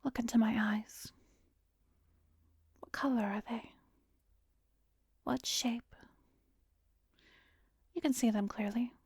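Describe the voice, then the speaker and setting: Slow, breathy vampiress hypnotist, acted by a woman. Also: a little high, fairly even intonation, a little mellow, no room sound, clean studio sound, full character voice. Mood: soothing, hypnotic. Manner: slow, breathy, commanding, drifting into whispers. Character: arrogant, seductive vampiress hypnotist, smug and cruel. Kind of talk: ASMR roleplay, hypnotic scripted monologue.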